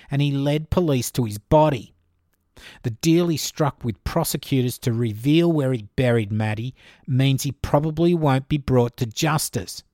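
A man's voice: mid-range voice, darkish tone, dry recording, clean studio sound. A man with an Australian accent hosting his own podcast, answering listener questions in a chatty, informal way. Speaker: podcast host.

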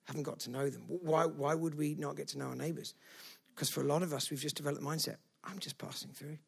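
A man's voice: on the high side, steady tone, fast, creaky, slightly rough. A man preaching a sermon to a church, emphatic and conversational, often adding "right?". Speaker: pastor.

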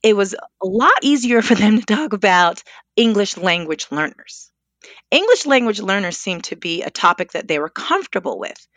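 A woman's voice: medium pitch, big pitch swings, normal speed, fairly bright tone, dry recording. A woman on a podcast speaking earnestly, drawing on her own research and experience. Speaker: podcast panelist. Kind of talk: podcast discussion.

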